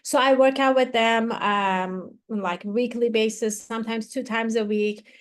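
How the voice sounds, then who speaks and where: A woman's voice: on the high side, fairly even intonation, measured pace. A woman, talking chattily with many fillers and restarts as an interview guest. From Iran. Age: 40s. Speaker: guest, activewear founder. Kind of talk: casual interview talk.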